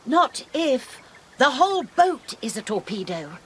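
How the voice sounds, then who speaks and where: A prim, determined missionary spinster presses her plans in proper tones. Her high voice rises and falls with lively animation. There is a faint hiss of outdoor ambience behind her. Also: unhurried, full character voice. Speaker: actor. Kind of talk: radio drama acting.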